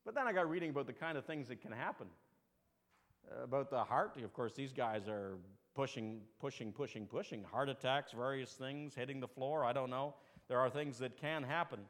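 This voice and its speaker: A man's voice: mid-range voice, normal speed, a bit bright. A male pastor preaching a sermon, telling folksy stories and jokes with rambling asides. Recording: long reverb of a large hall.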